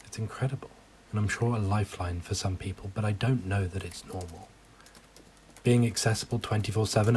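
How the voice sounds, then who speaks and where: A man playing someone fed up with pressure, delivering a scripted diary monologue with a husky voice. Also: lowish voice, a little mellow, a little hiss or hum, natural voice.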